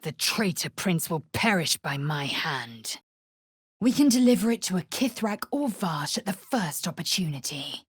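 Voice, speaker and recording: A fierce young alien warrior woman from a fantasy video game, low and measured. She speaks in clipped, formal, commanding phrases with haughty authority. The voice has a husky rasp and sounds dry and close. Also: big pitch swings, dry recording, full character voice. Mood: haughty, disdainful. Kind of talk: video game character voice lines.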